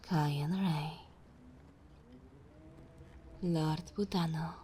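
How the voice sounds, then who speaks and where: A woman hosting an ASMR channel, speaking softly and gently in a breathy half-whisper. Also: sits low, steady tone, slow, slight background noise. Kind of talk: soft-spoken ASMR outro.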